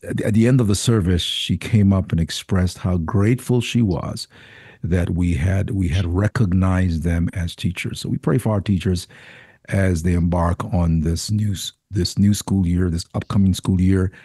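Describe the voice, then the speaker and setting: A man's voice: low voice, moderate pace, breathy edge, no echo, studio quality. A man, a senior pastor visiting as guest, talking casually and repeating words as he gathers his thoughts. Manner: warm-hearted, repeats words while thinking. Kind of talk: casual podcast conversation.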